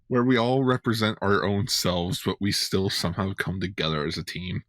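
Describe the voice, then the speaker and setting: Low-voiced man chatting casually. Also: moderate pace, fairly bright tone, dry recording, studio quality. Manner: informal, sweary. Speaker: podcast co-host. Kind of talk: casual fan chat.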